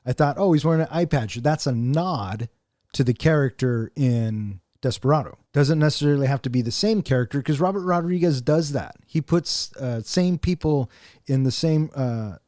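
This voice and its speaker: Man, movie podcast co-host, warm mellow tone, enthusiastic, joking, dry studio sound. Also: mid-range voice, lively intonation, moderate pace, dry recording. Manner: enthusiastic, joking, swears playfully. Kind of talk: casual movie review chat.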